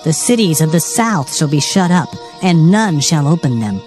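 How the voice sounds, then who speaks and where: Low-pitched woman, animated scripture narration. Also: normal speed, faint music behind. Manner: solemn, declamatory. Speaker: Bible narrator.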